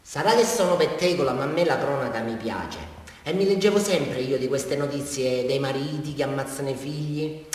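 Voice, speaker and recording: A man's voice: medium pitch, normal speed, lightly acted. An actor playing a simple-minded, eager male suspect in a radio drama, rambling and repeating himself in a southern Italian accent as he confesses to police. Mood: rambling, subdued. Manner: rambling, repetitive, insistently addressing his listener. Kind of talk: theatrical radio monologue, colloquial confession. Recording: very echoey hall, distant mic.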